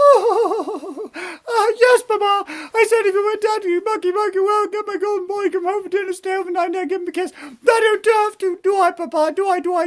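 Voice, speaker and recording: Very high, theatrical spoiled princess. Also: male voice. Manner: theatrical, singsong, whiny. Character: spoiled, gushing, fickle young princess.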